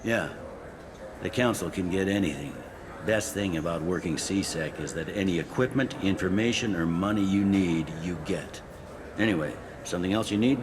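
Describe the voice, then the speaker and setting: A man's voice: deep voice, moderate pace, a crowd in the background, lightly acted. A man playing a wry, pragmatic police captain on a space station in a video game. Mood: matter-of-fact. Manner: wry, blunt, matter-of-fact. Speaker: security captain character. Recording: clearly audible ambient noise.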